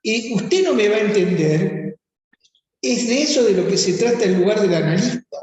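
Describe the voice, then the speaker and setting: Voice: medium pitch, lively intonation, normal speed, darkish tone. A speaker with an Argentine accent, a psychoanalyst reflecting on theory in a seminar discussion. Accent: Argentine Spanish. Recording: very echoey hall, long reverb.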